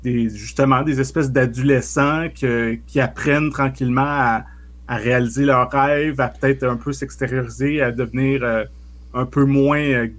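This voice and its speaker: A man, co-hosting a podcast and asking searching questions full of "euh". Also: mid-range voice. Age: 40s. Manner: searching, filler-heavy questions. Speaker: podcast co-host. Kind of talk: casual podcast interview.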